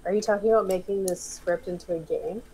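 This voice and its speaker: A woman's voice: lowish voice, quick pace, gravelly, darkish tone, low-quality recording. A woman talking casually in an online group voice chat. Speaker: table read group member. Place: online voice call. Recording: faint noise, online voice chat.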